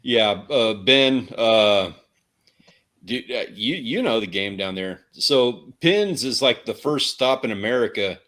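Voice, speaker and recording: A man's voice: fairly low pitch, animated, slow, fairly bright tone. Casual, joking Texan man chatting. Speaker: livestream co-host. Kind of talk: casual chat about fishing and outdoors.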